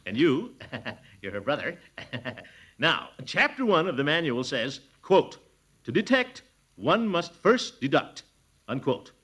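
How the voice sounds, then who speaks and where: An actor playing a pompous, blustering middle-aged amateur detective in a 1940s radio comedy. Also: male voice, fairly high pitch, very animated, slow, a bit bright, husky, heavily acted. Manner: blustering, chuckling, self-important.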